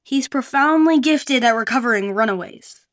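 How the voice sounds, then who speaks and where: A woman's voice: a little high, normal speed, fairly bright tone, natural voice. A woman playing a scheming, bossy, spiteful orphanage mistress in a radio play. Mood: scheming, commanding.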